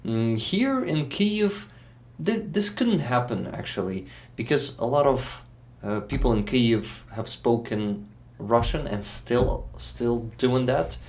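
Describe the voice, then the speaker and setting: Man, Ukrainian accent, native Ukrainian, very slow, halting, many fillers, casual interview. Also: medium pitch, lively intonation, darkish tone. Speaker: guest.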